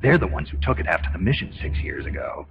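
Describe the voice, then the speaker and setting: An actor playing a gruff, wary retired special forces soldier in a video game, with a terse, guarded delivery. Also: male voice, low-pitched, sing-song, quick pace, noisy background, full character voice. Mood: guarded, defiant. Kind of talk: dramatic video game acting. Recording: dull lo-fi game audio, loud music.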